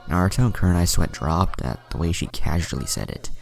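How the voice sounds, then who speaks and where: A man narrates a scripted story in an extremely deep register. His voice is thick with gravelly creak, and his intonation moves around in a lively way as he goes between narration and dialogue. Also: fairly quick, soft background music. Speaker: fan fiction narrator.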